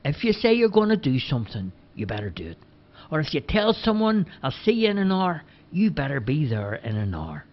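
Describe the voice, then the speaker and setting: A man's voice: on the high side, wildly swooping pitch, a little fast, voice pushed up. A man voicing a blunt, sensible grown man who lectures in a matter-of-fact way. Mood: lecturing. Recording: dull lo-fi recording.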